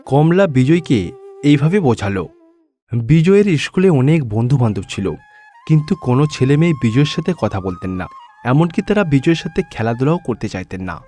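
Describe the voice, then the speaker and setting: A man's voice: medium pitch, very animated, normal speed, warm tone, faint music behind. A man reading scripted narration for a children's story.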